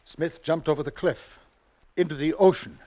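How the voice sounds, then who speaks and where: A man's voice: a little high, steady tone, normal speed, mellow, close to an everyday voice. An actor in a 1940s radio drama playing a man who presses urgently for answers.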